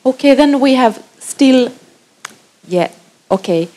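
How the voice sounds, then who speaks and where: A woman's voice: sits high, animated, slow, dark tone, low-quality recording. A woman moderating a conference session, giving brief plain instructions.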